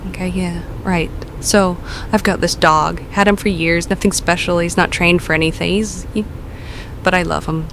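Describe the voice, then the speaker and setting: An actress playing a nervous woman caller who talks in a hesitant, halting, self-deprecating way. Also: fairly low pitch, steady tone, background noise, lightly acted. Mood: uneasy.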